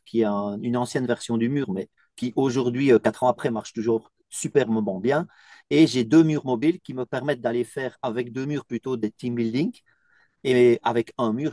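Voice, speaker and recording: A man's voice: medium pitch, dark tone, no room sound. A man from Belgium who runs a team coaching business, speaking in an interview testimonial.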